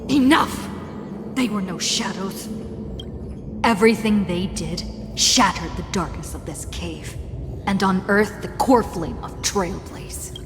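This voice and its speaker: Actress for a fiercely loyal, defiant game heroine, dramatic delivery; big hall reverb over loud music. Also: female voice, mid-range voice, takes it slowly, close to an everyday voice. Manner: declamatory, emphatic. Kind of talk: dramatic video game voice acting.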